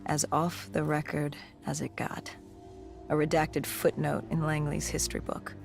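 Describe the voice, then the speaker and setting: A grim cyber-augmented soldier, looks back on a disaster in a video game cutscene. The voice is low-set and gravelly, with creaky edges and somber, measured phrasing. Music plays underneath. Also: monotone. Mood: grim, reflective.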